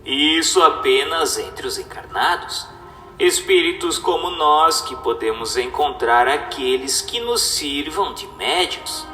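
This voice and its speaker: A man giving a scripted, solemn reading in a formal, didactic and deliberate manner, as a narrator. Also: a little high, animated, bright, forceful tone. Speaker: narrator reading a spiritist text. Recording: echoey reverberant voice with music. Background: music.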